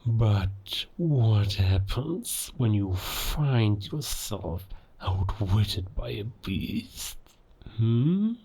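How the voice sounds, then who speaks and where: A gravelly, low-pitched male werewolf played by a man holds forth in a cinematic audio drama. He is cold and scornful of humankind, delivering his villain's monologue at an unhurried pace. Dramatic pauses make each threat deliberate and theatrical. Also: sing-song, dark tone, heavily acted. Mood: menacing, taunting.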